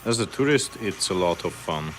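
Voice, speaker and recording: A man's voice: low-pitched, animated, moderate pace, slight background noise. A man from Hungary with a Hungarian accent, chatting casually on a livestream while painting fishing lures in a workshop, answering viewer questions between tasks. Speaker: lure maker.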